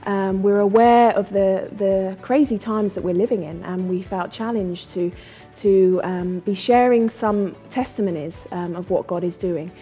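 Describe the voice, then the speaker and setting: A woman's voice: medium pitch, steady tone, unhurried, few pauses, warm tone, breathy edge, faint music behind. A woman hosting an interview, speaking casually with many fillers and encouraging interjections. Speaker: podcast host.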